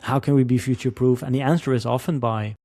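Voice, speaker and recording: Mellow-voiced man hosting a podcast. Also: mid-range voice, steady tone, fast, no room sound, clean studio sound. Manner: thinks aloud with many "um" and "like" fillers. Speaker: podcast host. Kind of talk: casual podcast interview.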